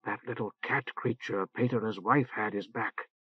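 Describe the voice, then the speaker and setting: A man voicing a puzzled woman in an audiobook, speaking in a hushed, confiding whisper. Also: on the low side, steady tone, a little slow, husky, dry recording, highs cut off. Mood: hushed puzzlement.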